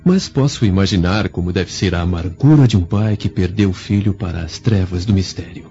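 A man's voice: low voice, brisk, dark tone. An actor with a Brazilian Portuguese accent playing an enigmatic, courteous man of hidden passion in a radio drama, with formal, ornate delivery. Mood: solemn, courteous. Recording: muffled radio drama recording with music.

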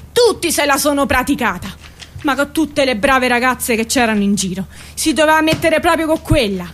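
A woman's voice: sits high, a bit bright, slight background noise. An actress playing a brash, aggrieved working-class mother in a theatrical radio drama, complaining and pleading in colloquial, insistent repetitions. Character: brash, aggrieved working-class Italian mother defending her arrested son.

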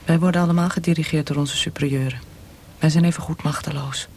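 An actor playing a cold, insistent military interrogator in a radio play, with a hushed, breathy, measured voice. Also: male voice, on the high side, steady tone, a little hiss or hum, natural voice. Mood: persuasive. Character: cold, pressuring military interrogator offering a mercy death.

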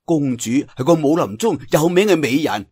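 Naive, animated young Cantonese swordsman acted by a man. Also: a little high, moderate pace, slightly rough. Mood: puzzled, curious. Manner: wondering, questioning, exclamatory. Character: young male swordsman, naive, curious and easily amazed.

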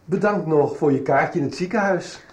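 A man's voice: on the high side, normal speed, darkish tone, natural voice. An actor playing a middle-aged father in a Dutch radio soap. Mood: affable. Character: middle-aged father, halting and kindly, stern when crossed.

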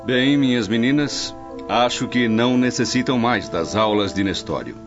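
A man's voice: mid-range voice, moderate pace, natural voice. An actor playing a dignified, fond Roman father and statesman in a formal, stately manner. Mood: fond, assured. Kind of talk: radio drama acting. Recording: dull lo-fi audio under music.

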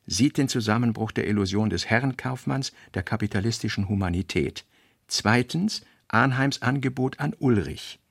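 A man reading an author's fragmentary working notes for a radio play, slowly and deliberately. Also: medium pitch, vocal fry, dry recording, clean studio sound. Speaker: reader.

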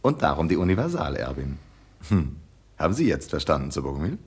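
A man's voice: very deep voice, sing-song, brisk, husky, exaggerated voice. A young actor playing a cheeky, scheming young man with mock-polite manners in a radio drama. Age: young adult.

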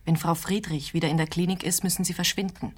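A woman's voice: fairly low pitch, fairly even intonation, fast talker, breathy edge. An actress playing a cautious, earnest female clinic carer in a radio play, speaking in a matter-of-fact way. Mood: worried, cautious. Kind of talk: German-language radio play acting.

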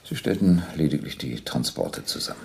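An actor playing a stern, methodical police captain who questions a war criminal and reads out evidence formally in a German radio docudrama. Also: male voice, very deep voice, very animated, moderate pace, gravelly, darkish tone, exaggerated voice. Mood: stern, insistent. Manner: formal, probing, insistent. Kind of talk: German radio docudrama acting, reading documents aloud.

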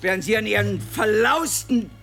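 Ein Schauspieler spielt in einem Hörspiel einen großmäuligen, neidischen amerikanischen Kriegsreporter, der aufdringlich, vulgär und höhnisch redet. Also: male voice, sits high, expressive, moderate pace, bright, forceful tone, exaggerated voice. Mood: mocking, jealous. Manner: sneering, pushy, sarcastic. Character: brash, foul-mouthed, jealous American war reporter, mocking loudmouth. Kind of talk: radio play acting.

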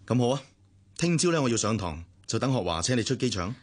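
A man's voice: medium pitch, sing-song, fast talker. A man with a Hong Kong Cantonese accent acting a protective but detached elder brother in a radio drama, admonishing and matter-of-fact. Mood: concerned, admonishing.

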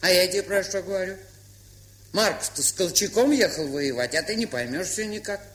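An actress playing a gruff, stubborn but kind-hearted old aunt in a radio play, with an aged, husky, folksy, grumbling way of talking. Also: female voice, fairly low pitch, a little fast, a bit bright, lightly acted. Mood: indignant. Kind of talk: Soviet radio play acting.

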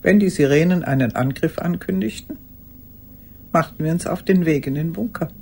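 An actress playing an old woman haunted by a lost love, speaking in a halting, intimate radio drama monologue. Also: low voice, creaky, mellow, breathy edge, heavily acted. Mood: haunted, hushed. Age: older. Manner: halting, intimate, sometimes dropping to a whisper.

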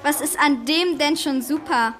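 A woman's voice: high-pitched, measured pace, fairly bright tone. A woman playing a mocking, smug schoolgirl classmate in a children's radio play, talking scornfully.

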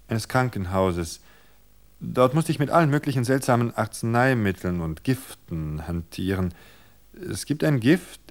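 A cornered wife, voiced by a male reader, talks high and unsteady, stumbling over her words before settling into a slow, careful tale. A dry, gravelly catch runs beneath the raised pitch. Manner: high, halting, desperate then measured. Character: frightened woman scheming to stall husband.

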